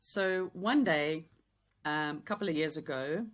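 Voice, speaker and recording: A woman in her fifties from Yorkshire, now a business coach, with a softened Yorkshire accent, talking as a guest in a relaxed, chatty way with many ums. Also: sits low, unhurried. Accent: Yorkshire English softened by South African years. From Yorkshire, England. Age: 50s. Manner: warm, chatty, thoughtful with frequent ums and light laughter. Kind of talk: casual interview chat.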